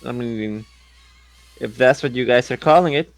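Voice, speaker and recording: A man's voice: medium pitch, normal speed, soft background music. A man playing a tabletop role-playing game, bantering casually in and out of character.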